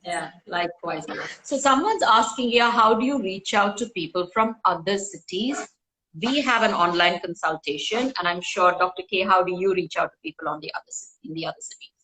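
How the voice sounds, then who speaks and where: A woman from India, a guest doctor speaking in a measured, polite way in a live online interview over a video call. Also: mid-range voice, animated, sharp tone, rough recording.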